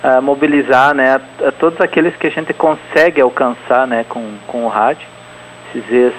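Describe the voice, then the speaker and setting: A man in his forties gives a radio interview, talking through his answers with many fillers and restarts. His voice sits at a middle pitch, with a faint hiss of noise behind it. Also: fairly even intonation, moderate pace, poor audio. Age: 40s. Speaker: interview guest, event organizer.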